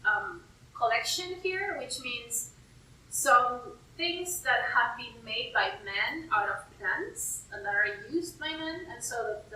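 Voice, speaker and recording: Echoey, high, bright-voiced woman explaining. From Peru. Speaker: university herbarium curator and plant taxonomist. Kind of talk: informal explanatory interview for an online video. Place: indoor herbarium room. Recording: echoey room, distant mic, faint noise.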